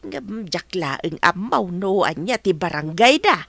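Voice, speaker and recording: A woman's voice: fairly low pitch, animated, a little slow, vocal fry, a bit bright, dry recording, exaggerated voice. An actress playing a chatty, deferential older village woman in a radio drama, with an Ilocano accent. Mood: revealing, intent.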